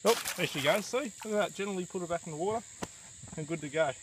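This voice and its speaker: A man's voice: high voice, fast talker, very noisy. A young Australian man giving casual running commentary outdoors on a fishing boat. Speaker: fishing vlogger. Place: outdoors on a small boat on a river. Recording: loud guitar music over outdoor audio.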